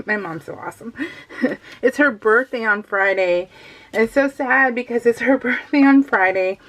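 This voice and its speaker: A middle-aged woman chatting casually and informally as she narrates a craft vlog, telling stories in an animated, giggly way. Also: on the high side, unhurried. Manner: giggly, animated storytelling with quoted exchanges. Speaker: craft vlogger.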